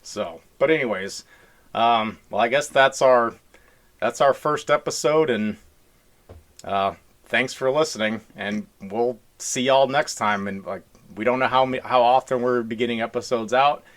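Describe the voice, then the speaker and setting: A man's voice: mid-range voice, a little slow, fairly bright tone. A man co-hosting a podcast in casual, joking chat, rambling along in a folksy way. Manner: rambling, folksy y'all talk. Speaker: podcast co-host.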